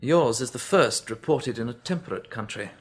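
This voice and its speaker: An actor playing a brisk, businesslike research chief with an English accent in a radio drama, clipped and probing. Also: male voice, medium pitch, lively intonation, close to an everyday voice. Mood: businesslike, intent. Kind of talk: British radio drama acting.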